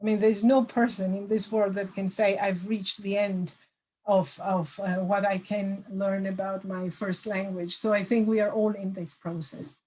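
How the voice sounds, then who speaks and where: A woman's voice: medium pitch, level tone, moderate pace, darkish tone, low-quality recording. Woman answering questions thoughtfully. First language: Greek. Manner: thoughtful, many hesitations and fillers. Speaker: language assessment lecturer. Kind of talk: answering audience questions after a lecture. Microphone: video call.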